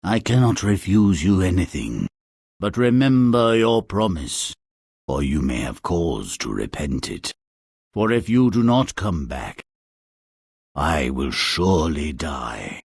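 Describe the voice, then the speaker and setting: A man's voice: low-pitched, big pitch swings, slow, a little mellow, husky, no room sound, studio quality, full character voice. A man voicing a lovesick, menacing monster in a fairy-tale game, solemn, theatrical and formal in speech. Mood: mournful. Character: mournful, menacing male monster, lovesick man-eating beast. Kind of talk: video game fairy-tale cutscene acting.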